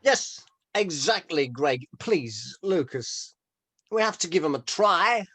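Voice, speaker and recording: A performer playing a gentle, agreeable band member in a script read. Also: mid-range voice, lively intonation, slow pace, no room sound.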